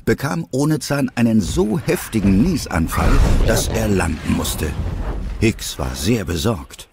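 A man's voice: fairly low pitch, animated, a little slow, creaky, background noise. A man narrating a children's audio drama as the storyteller of a dragon adventure.

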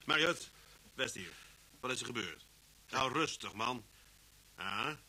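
Stern radio-play police chief: authoritative, lively pitch, piercing tone, slightly noisy old recording. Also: male voice, slow pace, slightly rough, exaggerated voice. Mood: calm, firm. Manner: authoritative, clipped. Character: stern, commanding male police chief inspector. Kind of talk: vintage Dutch radio drama acting.

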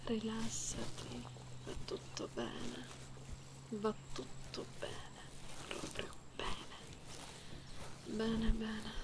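A woman voicing an affectionate, playful cat-girl in a soft-spoken ASMR roleplay, soothing and teasing, slipping into whispers. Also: a little high, lively intonation, extremely slow, pauses often. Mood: tender, soothing. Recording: close binaural recording, clearly noisy background.

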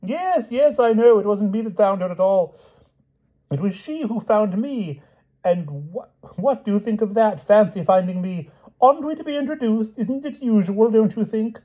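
A man voicing a giddy, excitable, dithering old-fashioned king in a storybook, chatty and blustering. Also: very high voice, brisk, hardly any pauses, muffled recording, voice pushed up. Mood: giddy excitement. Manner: blustering, chatty, repetitive questioning.

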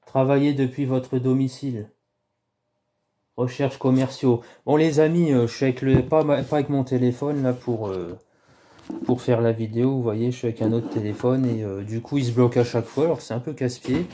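Dark-voiced man vlogging on a phone. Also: mid-range voice, steady tone, a little hiss or hum. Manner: rambling, halting, many restarts and fillers. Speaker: YouTuber doing a prank call. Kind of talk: casual vlog to camera. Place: small room indoors at home. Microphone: phone camera held low at arm's length.

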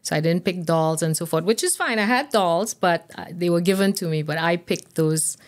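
Low-pitched woman, measured. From Trinidad and Tobago. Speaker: guest pediatrician. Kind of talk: conversational interview answers. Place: small indoor podcast studio. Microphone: boom-arm podcast microphone.

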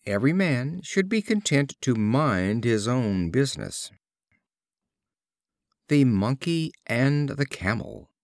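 A man reading fables as scripted storybook narration, in a measured, expressive storyteller's delivery. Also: mid-range voice, dry recording, studio quality. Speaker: audiobook reader.

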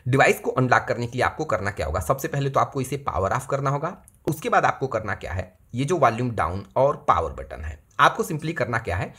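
Husky man walking through a phone tutorial. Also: mid-range voice, a bit bright. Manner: repetitive, addresses viewers as friends. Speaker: phone repair tutorial host. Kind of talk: step-by-step tutorial narration. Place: indoors at a table, hands-only camera view.

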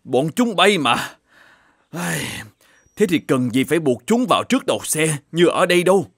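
A man voicing a grumpy, nagging older shoemaker in an animated folk tale, muttering and exclaiming as he talks. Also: a little high, normal speed, husky, no room sound, studio quality, exaggerated voice. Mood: subdued, reflective. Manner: exclaiming, muttering to himself, scolding. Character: grumpy, nagging older shoemaker husband, scornful and quick to scold.

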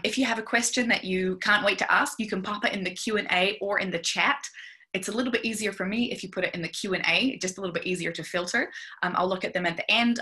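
Bright-voiced young woman hosting a webinar. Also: mid-range voice. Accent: faded New Zealand. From New Zealand. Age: young adult. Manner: friendly, chatty, explanatory. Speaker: speech therapist presenting a webinar. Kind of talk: webinar presentation. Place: small room at home. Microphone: video call.

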